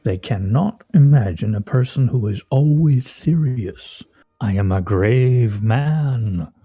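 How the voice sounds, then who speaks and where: Man acting pompous theatrical gent, mock-serious, ornate diction, animated intonation, radio play, dull lo-fi sound. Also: medium pitch, takes it slowly, husky, exaggerated voice. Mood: teasing. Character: pompous, sardonic, theatrical man at a scrabble game.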